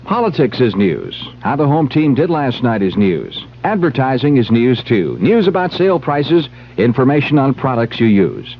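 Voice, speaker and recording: A man with a husky voice reading a scripted 1970s radio advertisement as an announcer. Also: medium pitch, slight background noise. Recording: dull old radio recording.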